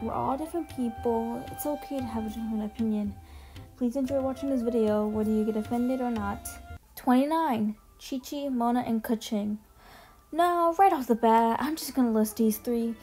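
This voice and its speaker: A woman's voice: a little high, normal speed, mellow. A young woman giving casual, slangy, emphatic opinion commentary as a voice-over. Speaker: video creator ranking game trailers. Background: music under the voice.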